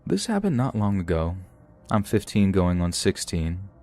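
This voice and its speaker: A man with a very low voice reads a first-person horror story in a steady, even way. Quiet music plays faintly under him. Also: normal speed. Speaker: narrator.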